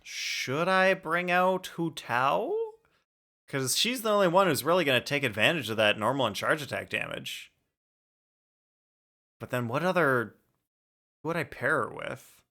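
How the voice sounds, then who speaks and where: A man's voice: on the high side, expressive, unhurried, pauses often, pushed and bright. A man chatting casually on a game livestream, informally thinking aloud as he plays. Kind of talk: casual livestream gaming chat. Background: music.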